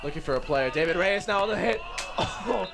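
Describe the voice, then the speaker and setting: A man doing play-by-play commentary for a live match, slightly hoarse, excitable and chuckling often. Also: sits high, fairly quick, noisy background.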